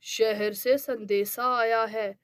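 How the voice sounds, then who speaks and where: A woman voicing a gentle, affectionate village father in a children's cartoon tale. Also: on the high side, steady tone, slow pace, clean tone, no room sound, studio quality, voice pushed down. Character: gentle, loving village father, adult man.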